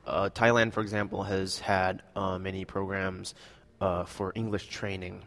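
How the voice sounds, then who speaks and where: A man's voice: low-pitched, level tone, moderate pace, gravelly, a bit bright, in a large hall. A young man from Indonesia, answering interview questions in a hesitant, casual way with many fillers. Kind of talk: casual conversation.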